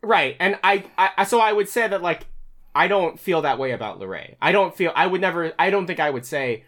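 A man from Virginia co-hosts a casual podcast chat. He rambles, restarts his sentences often and pokes fun at himself. His pitch moves around a great deal. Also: expressive, fairly bright tone. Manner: rambling, self-deprecating, halting restarts. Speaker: podcast co-host. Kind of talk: casual conversation.

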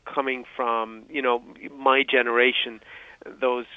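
An Irish man in his fifties, a guest on an interview podcast, talking in a halting, reflective way with many restarts. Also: mid-range voice, level tone, clean tone, dry recording. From Ireland. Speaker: guest, film historian. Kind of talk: casual interview. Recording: tinny phone line.

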